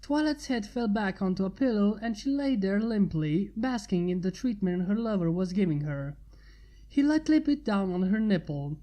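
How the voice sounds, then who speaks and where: A woman's voice: medium pitch, animated, normal speed, warm tone. A woman reading fan fiction aloud as a narrator.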